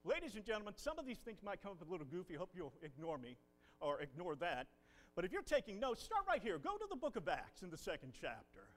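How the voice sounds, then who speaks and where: A man, a visiting preacher leading a Bible class and prayer in a folksy, animated way. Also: sits high, fast talker, faint music behind. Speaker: guest preacher. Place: church building.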